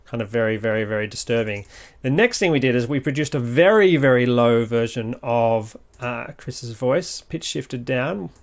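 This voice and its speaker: A man's voice: mid-range voice, animated, normal speed, a little hiss or hum. A man giving a casual walkthrough as a sound designer, thinking aloud as he demonstrates.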